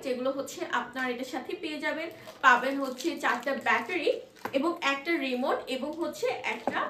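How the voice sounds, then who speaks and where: A woman with a Bangladeshi accent presenting a product demonstration in an informal, explanatory, somewhat halting way, mixing in English words. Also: high voice. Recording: echoey room, distant mic, faint noise.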